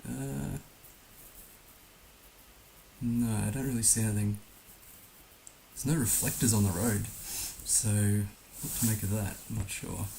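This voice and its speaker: Male game streamer, hoarse and gravelly, unhurried thinking-out-loud delivery, faint hiss on the track. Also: on the low side, fairly even intonation, low-quality recording. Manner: musing, hesitant, thinking aloud. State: hoarse, recovering from being under the weather. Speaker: livestream host playing a geography guessing game. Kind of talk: casual live game commentary. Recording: noticeable background hiss.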